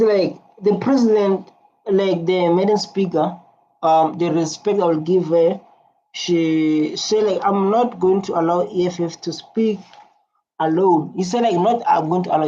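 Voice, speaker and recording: Reaction host rambling thru comments, lots of "like", dark mellow tone, kinda dull lo-fi audio. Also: medium pitch, a little hiss or hum. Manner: rambling, heavy use of "like" and restarts. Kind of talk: casual commentary reading out viewer comments. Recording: dull lo-fi sound.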